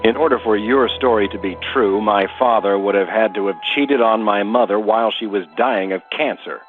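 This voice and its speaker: A very low-voiced, guarded older brother played by a male actor talks curtly and dismissively in an audio soap opera. The recording is narrow and phone-like, with faint music. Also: normal speed, natural voice. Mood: hostile, accusing. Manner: curt, dismissive. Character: stern, guarded wealthy older brother, easily riled.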